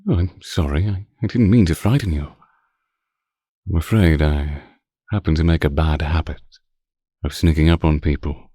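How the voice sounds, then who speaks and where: An extremely low-voiced, ancient vampire count played by a man speaks in an unhurried, courtly way. His tone is dark and velvety, teasing and condescending as he toys with his prey. The roleplay audio is close and dry. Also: husky, no echo. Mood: suave, apologetic. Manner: formal, archaic, teasing and condescending. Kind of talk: slow intimate roleplay audio monologue.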